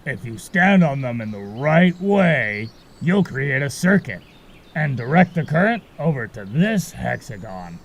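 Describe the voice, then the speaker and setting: A man's voice: medium pitch, sing-song, measured pace, a little hiss or hum. A man voicing a carefree, cagey marsh fairy guide in an audio drama, talking in a breezy, casual way. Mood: explaining, assured. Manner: breezy, casual, a bit evasive.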